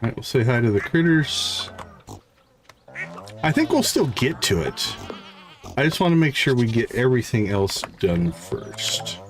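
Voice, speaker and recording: A man's voice: medium pitch, a little hiss or hum. A man hosting a live game stream, thinking aloud in short casual fragments and chatting to viewers, with a slight catch in his throat. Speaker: livestream host, gamer.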